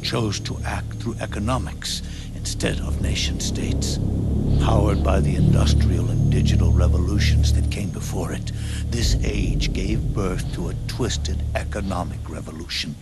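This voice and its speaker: An actor playing an aging, world-weary soldier in a video game cutscene, speaking gravely and deliberately with dramatic pauses. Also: male voice. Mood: bitter, intense. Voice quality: deep, gravelly. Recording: loud music under the voice. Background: loud music.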